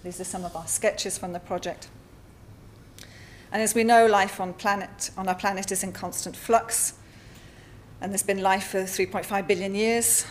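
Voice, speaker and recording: A woman with a Spanish accent giving a conference talk over slides, halting often with fillers and self-corrections. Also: medium pitch, fairly even intonation, a little hiss or hum. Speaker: artist presenting at a symposium. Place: lecture hall.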